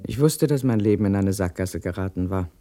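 An actor playing a resigned, disillusioned spouse in a formal radio play monologue. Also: male voice, low voice, lively intonation, brisk, dark tone. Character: disillusioned spouse confessing a failed marriage. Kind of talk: scripted confessional monologue.

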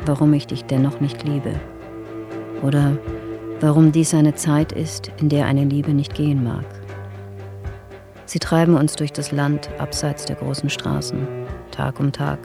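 An actress playing a woman prisoner who writes a farewell letter in a radio drama, sombre, measured and restrained. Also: low-pitched, gravelly. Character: woman prisoner on a forced march, writing a farewell letter, grave. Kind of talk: radio drama monologue, letter read aloud.

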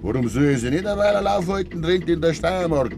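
An actor playing a stubborn, breezily evasive middle-aged farmer in a Bavarian dialect radio play. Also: male voice, fairly high pitch, lively intonation, brisk. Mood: breezy, stubborn. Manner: breezy, evasive, talking past others. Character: stubborn older farmer father, cheerfully denying his son's death. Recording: noisy 1950s radio play recording.

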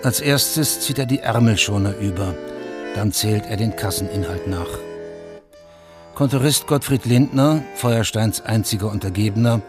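A man narrating a scripted radio drama in a sober, matter-of-fact manner. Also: fairly low pitch. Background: music.

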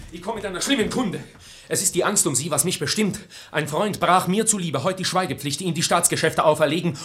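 An actor playing a loyal, earnest young suitor, speaking urgently in a verse radio play. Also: male voice, high-pitched, a bit bright.

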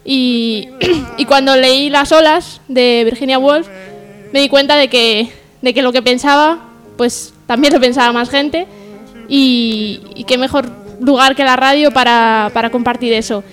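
A woman's voice: high-pitched, fairly even intonation, unhurried, a bit bright, faint music behind. A woman presenting an informal radio monologue in a hesitant, self-deprecating but earnest way. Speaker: section presenter on a humour radio show.

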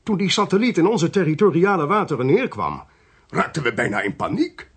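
Husky, calculating intelligence man. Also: a little high, very animated, a little fast, heavily acted. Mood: confiding, grave. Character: cold, smooth-talking male intelligence official, calculatingly ruthless. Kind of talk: Dutch radio play acting.

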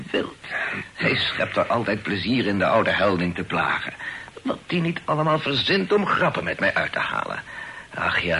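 A humble old poet in a period radio play. His voice is low and bright, swinging widely as he sighs, repeats himself and defers sentimentally to his listener. Faint noise runs under the old recording. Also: exaggerated voice. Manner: effusive, hesitant, sighing, repeats himself.

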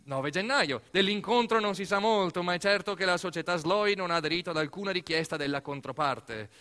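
A man performs a dramatic narrative monologue as an actor, telling the story deliberately in short, weighted phrases. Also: high voice, pushed and bright. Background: music.

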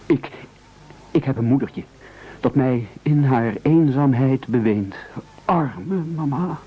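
Husky, timid mother's boy. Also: medium pitch, slow pace. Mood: plaintive, defensive. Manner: hesitant, stammering, plaintive. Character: timid, plaintive mother's boy castaway, hesitant and naive. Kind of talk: radio play acting. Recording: dull, muffled radio play recording.

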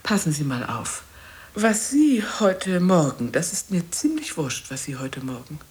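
A lowish-voiced, solitary woman in a radio drama, brusque and dismissive. She speaks very slowly and swoops widely in pitch, cutting people off to guard her peace. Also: very animated, a little mellow. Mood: indignant, dismissive. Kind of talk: radio play acting.

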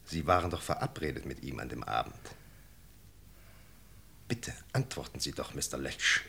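A man's voice: very deep voice, very animated, moderate pace, vocal fry, pushed and bright, a little hiss or hum, full character voice. An actor playing an earnest British police sergeant in a 1960 German radio drama, formally polite and insistent as he questions a witness. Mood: urgent pleading.

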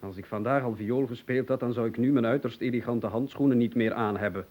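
An actor playing a fussy, excitable, bewildered man in an absurdist radio play, pedantic and theatrical, swinging between hushed and agitated delivery. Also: medium pitch, a little fast, lightly acted. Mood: indignant. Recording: hissy old radio drama recording.

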